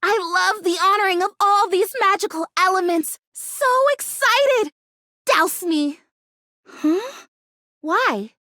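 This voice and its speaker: Very high, perky houseplant girl. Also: slow, pushed and bright, no echo, studio quality, full character voice. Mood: giddy, excited, then disappointed. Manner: chatty, dramatic, earnest, gushing. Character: perky, stubbornly hopeful female fake houseplant longing to be real. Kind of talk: animated video game character acting.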